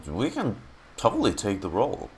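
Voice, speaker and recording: A man streaming his casual reaction to a game, talking in a halting, exasperated way. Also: fairly low pitch, huge pitch swings, slight background noise, rough recording. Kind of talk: casual live reaction commentary.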